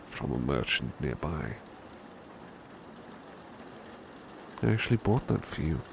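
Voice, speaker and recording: A man playing a devoted, gentle British butler in a soft-spoken ASMR roleplay, courteous and formal, often slipping into a breathy whisper. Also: heavily acted. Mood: kindly, reassuring. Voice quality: very deep, gravelly, breathy whispery edge. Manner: gentle, courteous, old-fashioned formal phrasing. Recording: clear background noise, ambient rain sound. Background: rain ambience.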